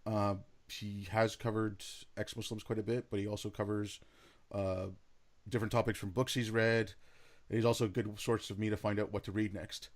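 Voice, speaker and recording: A man's voice: fairly low pitch, little pitch movement, normal speed. A man hosting a podcast interview, speaking in a friendly, rambling way with lots of "like" and "you know". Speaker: podcast host. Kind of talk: casual interview.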